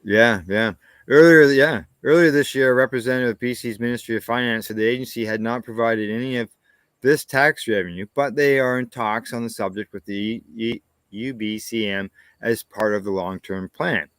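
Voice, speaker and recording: A man's voice: medium pitch, moderate pace, dry recording. A man from Canada co-hosting a news show, reading stories steadily and chatting casually. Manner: plain, steady reading with short agreeing asides. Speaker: co-host reading cannabis news.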